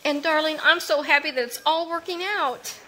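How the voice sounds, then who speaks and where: A woman's voice: high-pitched, a little hiss or hum, poor audio. A woman playing a cheerful, trusting young wife. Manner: warm, reassuring, brisk. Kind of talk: 1940s radio acting.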